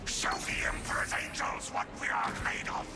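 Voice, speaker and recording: A man's voice: normal speed, music in the background, lightly acted. An actor playing a stern male soldier in a war video game, giving rousing military commands. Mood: commanding. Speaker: game character.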